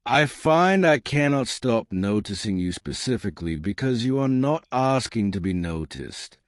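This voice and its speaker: A man's voice: mid-range voice, lively intonation, moderate pace, smooth, no echo, studio quality, close to an everyday voice. A man voicing an earnest, courteous army colonel in an audiobook, speaking formally and directly. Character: earnest, courteous army colonel, a plain-spoken gentleman suitor. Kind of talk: audiobook character dialogue.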